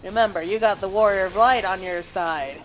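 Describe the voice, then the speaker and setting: A woman's voice: medium pitch, normal speed, slight background noise, rough recording. A woman giving casual, chatty commentary as she plays a game, reacting aloud as she goes. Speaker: gamer recording a playthrough.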